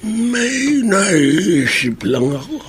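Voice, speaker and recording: An aged grandfather in a radio drama, slow and musing, with pitch that swings widely. He is wistful and reflective, halting between thoughts, over some background noise. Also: big pitch swings, exaggerated voice. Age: 80s. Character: wistful, reflective 87-year-old grandfather from Bicol. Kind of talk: Filipino radio drama acting.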